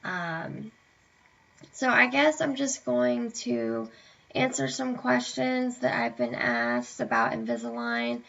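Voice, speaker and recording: Slow, gravelly-voiced young woman vlogging. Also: fairly high pitch, sing-song, fairly bright tone. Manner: hesitant, many fillers. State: slightly hoarse, tired. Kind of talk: casual chat to camera. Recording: dull lo-fi webcam audio.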